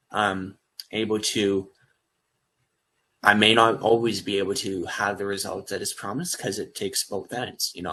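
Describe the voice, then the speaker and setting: A man's voice: lowish voice, fairly bright tone, poor audio. A young man speaking as a guest over a video call, talking earnestly and at length about offering life coaching. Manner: earnest and rambling, with restarts. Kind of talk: earnest promotional talk.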